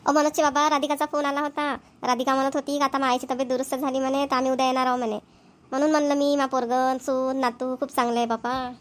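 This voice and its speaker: A woman's voice: sits high, level tone, fast, natural voice. A woman voicing a doting, chatty village mother-in-law and grandmother in a cartoon comedy, with a rural Maharashtrian Varhadi accent. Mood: homely, practical. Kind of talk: Marathi cartoon comedy voice acting.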